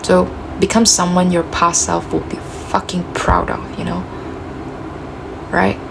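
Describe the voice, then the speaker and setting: A woman with a gravelly voice giving a casual, reflective self-help talk, full of "you know" and "like", her voice cracking as she loses it. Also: on the low side, level tone, a little slow, noisy background. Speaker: motivational speaker.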